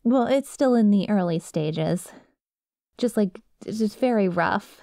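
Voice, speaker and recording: A woman's voice: mid-range voice, lively intonation, a little slow, warm tone, no echo, studio quality. A woman playing a nervous, clingy young best friend with a secret obsession, rambling hesitantly and casually in an ASMR roleplay. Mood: nervous, bashful.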